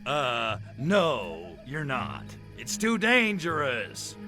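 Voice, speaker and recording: An actor playing a cocky, lecherous alien invader in a video game, delivering sardonic wisecracks full of innuendo. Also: male voice, mid-range voice, wildly swooping pitch, drawn-out words, over music, heavily acted. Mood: gruff, exasperated. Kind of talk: video game cutscene acting.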